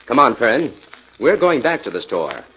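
An actor playing a self-assured, decisive crime-fighting sleuth in a 1940s radio drama, speaking in a brisk, commanding manner. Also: male voice, mid-range voice, big pitch swings, breathy edge. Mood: deliberate, confident. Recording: muffled, noisy 1940s radio recording.

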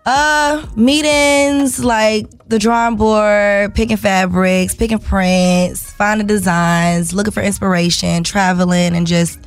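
A raspy-voiced young woman, a guest rapper, chatting casually in an interview and trailing off with lots of "like"s. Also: mid-range voice, slow, few pauses, soft background music. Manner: relaxed, trailing off with many "like"s.

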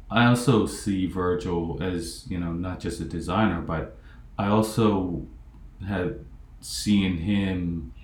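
A man in his forties hosting an interview podcast, talking reflectively with frequent pauses and fillers. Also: deep voice. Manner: reflective, halting, many fillers. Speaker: podcast host. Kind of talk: casual interview talk. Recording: echoey room.

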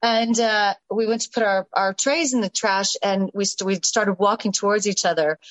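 A woman with a mixed Southern American and British accent, telling stories as a podcast guest in an animated way, laughing often. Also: medium pitch, moderate pace, no echo, low-quality recording. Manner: animated, laughing, many restarts and asides. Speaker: interview guest, stage actress and singer. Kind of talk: casual storytelling in a podcast interview.

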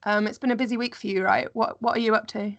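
A woman's voice: fairly high pitch, fairly even intonation, quick pace, vocal fry. A woman hosting a travel podcast, reading a scripted welcome and then interviewing her guest with short, friendly questions. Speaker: podcast host.

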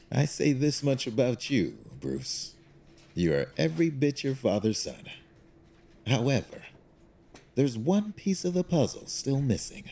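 Mellow, husky, fatherly executive acted by a man. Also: medium pitch. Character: Lucius, fatherly older executive, shrewd loyal advisor. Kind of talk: audio drama acting.

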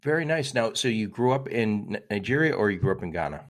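A man's voice: on the low side, animated, quick pace. A man hosting a podcast interview, asking informal questions with a joking tone. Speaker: podcast host. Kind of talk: casual interview chat.

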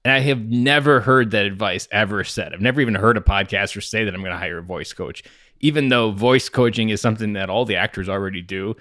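Low-voiced man asking curious questions. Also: quick pace, a bit bright, no room sound, studio quality. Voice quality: baritone voice. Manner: curious, asks questions, rambling tangents. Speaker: podcast co-host. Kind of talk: casual podcast chat.

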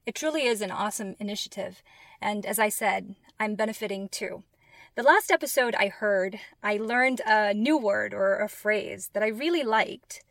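A woman hosting a language-learning podcast, talking enthusiastically and explaining lessons clearly. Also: medium pitch, moderate pace, a bit bright, no echo. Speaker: podcast host.

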